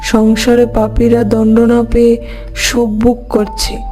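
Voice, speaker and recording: A woman's voice: on the high side, completely flat delivery, slow pace, dark tone, soft background music. A woman voicing a wronged, grieving old mother in a folk-tale cartoon, lamenting plaintively. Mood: bitter, lamenting. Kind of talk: Bengali moral folk-tale cartoon dub.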